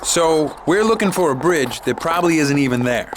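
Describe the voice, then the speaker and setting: An actor playing an uneasy, doubtful junior soldier in a war video game, with a questioning, expressive delivery. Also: male voice, normal speed, close to an everyday voice. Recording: loud music over game dialogue. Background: loud music, vehicle rumble.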